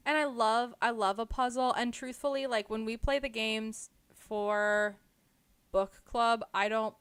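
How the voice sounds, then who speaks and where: A woman's voice: fairly high pitch, fairly even intonation, unhurried, fairly bright tone, clear, resonant tone. A woman with an American accent chatting casually and emphatically as a podcast co-host. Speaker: book club podcast co-host. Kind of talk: casual chat.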